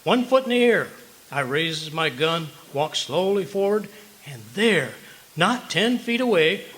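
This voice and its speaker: A man acts a blustery American husband from old-time radio comedy. His voice is high and bright, swooping through folksy wisecracks and tall tales. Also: lively intonation, a little slow. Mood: boastful.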